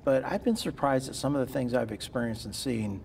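A man's voice: medium pitch, lively intonation, brisk, vocal fry, a little mellow, a little hiss or hum. A man in his sixties answering interview questions earnestly in a farm shed, often repeating words as he starts a thought. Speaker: interviewee, farmer philanthropist. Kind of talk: sit-down interview. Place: large farm machinery shed.

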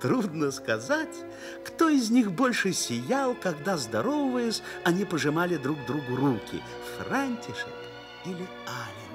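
A man narrating a literary radio drama in an expressive, lyrical storytelling manner. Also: on the high side, measured pace, over music.

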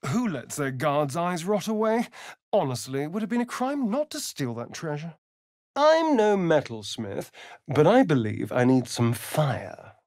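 An actor playing a vain, sardonic, centuries-old vampire spawn in a video game, with an arch, drawling, flamboyant delivery. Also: male voice, on the high side, big pitch swings, measured pace, darkish tone, no echo, clean studio sound. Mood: wry, musing. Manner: arch, drawling, swinging from purrs to shrieks. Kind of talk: theatrical video game character acting.

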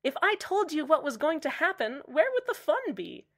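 A woman's voice: high-pitched, big pitch swings, normal speed, a bit bright, exaggerated voice. Woman playing a lilting, menacingly cheerful male radio host. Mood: cheerful, sly. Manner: relentlessly cheerful, sweetly condescending. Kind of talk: radio drama acting.

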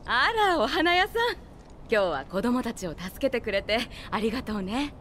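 An actress voicing a friendly, motherly townswoman in a Japanese video game, chatting warmly. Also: fairly high pitch, sing-song, normal speed, a little hiss or hum. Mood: grateful.

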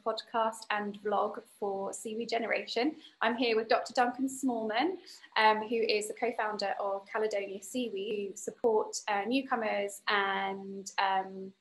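Woman podcast host, 30s, medium pitch, chatting on a video call, kinda halting with lots of pauses. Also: fairly even intonation, poor audio. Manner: hesitant, pausing between phrases. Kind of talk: informal interview hosting. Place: bright room at home, video call.

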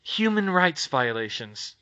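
A man's voice: on the high side, big pitch swings, slow pace, bright, forceful tone, dull audio, full character voice. A man voicing a smug, scheming middle-aged woman gossip journalist, pushy in tone. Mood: cool.

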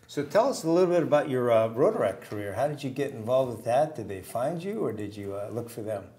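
A man's voice: medium pitch, sing-song, fast talker, mellow. An older man hosting a community TV interview in a studio, asking questions with short friendly affirmations. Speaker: TV show host. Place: small TV studio.

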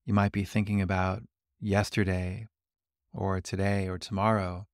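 Male meditation guide: lowish, creaky voice, calm and gentle delivery, leaving long pauses between instructions. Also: dry recording, studio quality. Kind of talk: guided body scan meditation.

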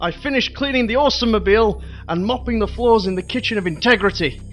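An actor playing a put-upon, eager young superhero sidekick in a comedy audio drama. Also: male voice, sits high, quick pace, a bit bright, soft background music.

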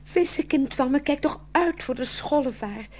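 Worried mama fish in a storybook play, high singsong swoops. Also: female voice, animated, moderate pace, a little mellow. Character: anxious, cautioning mother fish. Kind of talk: fairy-tale radio play acting.

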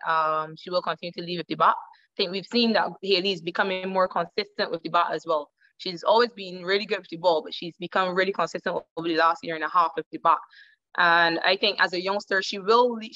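A woman's voice: lowish voice, pushed and bright. A woman cricketer from Barbados in her thirties, answering interview questions over a video call, thoughtful and repeating words as she searches. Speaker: cricketer guest. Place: indoor room at home. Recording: dull lo-fi call audio.

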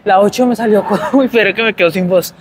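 A man's voice: high-pitched, fast talker, a little hiss or hum. A young man, chatting upbeat and casual to camera as a fitness vlogger between sets in a gym. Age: young adult. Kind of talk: casual vlog chat to camera. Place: large indoor gym, then a shopping mall walkway.

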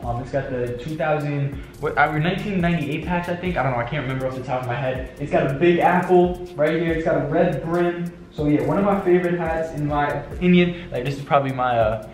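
Young new york dude rattling off his hat collection, talks fast, echoey bedroom. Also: on the high side. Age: young adult. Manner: fast, chatty, slangy, many fillers. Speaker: fashion vlogger. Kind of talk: casual hat collection haul. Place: bedroom with shelves, indoors. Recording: echoey room.

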